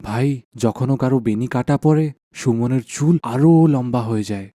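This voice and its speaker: Cartoon village husband, male actor: anxious, suspicious, dark mellow tone, dry studio sound. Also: mid-range voice, brisk, no echo, close to an everyday voice. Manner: worried, exclaiming questions. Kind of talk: animated folk tale dialogue.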